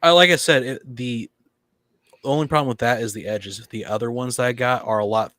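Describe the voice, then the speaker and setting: A man's voice: mid-range voice, fairly quick, fairly bright tone, soft background music. A man co-hosting a casual group podcast chat, hesitant and often repeating and rephrasing himself. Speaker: podcast co-host.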